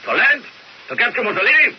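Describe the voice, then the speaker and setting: An actor playing a confident German SS commando leader in a radio drama, with a deliberate military delivery. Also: male voice, very high voice, sing-song. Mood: commanding. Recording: muffled radio recording with noise.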